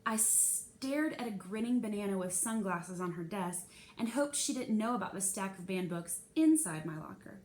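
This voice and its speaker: A woman's voice: medium pitch, lively intonation, normal speed, darkish tone. A woman reading a children's novel aloud expressively, narrating in the first person. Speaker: storybook reader.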